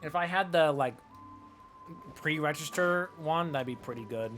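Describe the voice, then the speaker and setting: A man's voice: on the high side, a little slow, fairly bright tone, faint music behind. A man giving casual, chatty, playful commentary while live streaming a video game. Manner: chatty, playful, muttering nonsense syllables.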